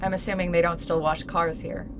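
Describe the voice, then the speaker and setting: A woman's voice: fairly low pitch, flat delivery, a little fast, background noise, lightly acted. A woman playing a wary, questioning reporter in a naturalistic audio drama, hesitant and easily flustered. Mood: flustered, annoyed. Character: skeptical, flustered female reporter meeting a secret source.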